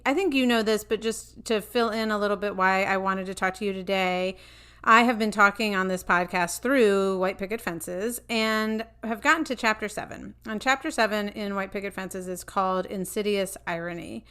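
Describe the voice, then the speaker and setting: A woman's voice: medium pitch, fairly even intonation, moderate pace, pushed and bright, smooth. A middle-aged woman hosting a podcast, asking earnest, thoughtful questions in a conversational interview. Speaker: podcast host.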